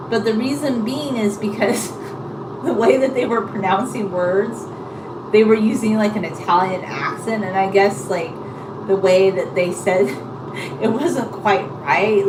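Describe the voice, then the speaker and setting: Woman chatting casually in a noisy, echoey room. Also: medium pitch. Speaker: live-streamer chatting with viewers. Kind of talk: casual rambling chat. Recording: echoey room, distant mic, loud background noise.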